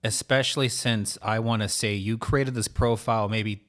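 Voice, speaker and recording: A man's voice: on the low side, fairly even intonation, normal speed. A man in his thirties co-hosting a podcast with irreverent, joking, sometimes profane casual banter. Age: 30s. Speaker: podcast co-host.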